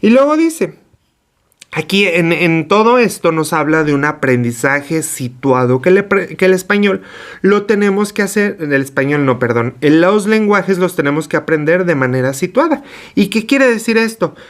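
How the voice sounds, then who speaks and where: A man's voice: sits high, animated, normal speed. A man giving a lecture to teachers. He asks himself questions and then answers them, and he corrects himself as he goes. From Mexico. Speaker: teacher trainer. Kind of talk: explanatory online lecture.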